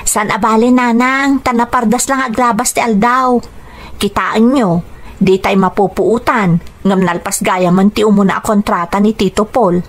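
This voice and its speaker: A woman playing an eager, dutiful schoolgirl daughter in a radio drama, expressive and respectful, with an Ilocano accent. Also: mid-range voice, moderate pace, lightly acted. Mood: eager, reassuring.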